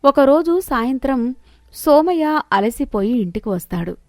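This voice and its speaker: A woman's voice: sits high, lively intonation, measured pace, darkish tone, no echo, studio quality. A woman narrating a scripted moral story as a storyteller.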